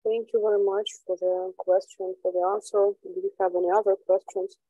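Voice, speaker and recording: A young woman moderates an online university lecture over a video call. Her voice is dark and mellow, recorded dry and close, and she speaks politely and formally. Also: mid-range voice, little pitch movement, brisk, no room sound, lo-fi sound.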